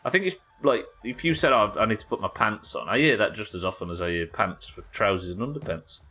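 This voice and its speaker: A man's voice: fairly low pitch, animated, quick pace, bright, forceful tone, slightly rough. A young British man hosting a live gaming stream, casually rambling and reading chat messages aloud as he plays. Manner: rambling, reads chat messages aloud and muses over them. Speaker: streamer host.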